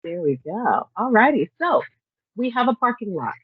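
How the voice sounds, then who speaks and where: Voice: mid-range voice, very animated, normal speed, breathy edge, no room sound. Upbeat chatty webinar co-host. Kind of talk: hosting a webinar. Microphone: video call. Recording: dull, dry video-call audio.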